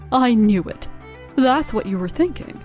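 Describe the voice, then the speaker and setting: A woman's voice: a little high, lively intonation, slow, a little mellow, faint music behind. A woman playing a cool, uncompromising young businesswoman in a manga dub, speaking formally and firmly. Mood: stern. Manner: formal, firm.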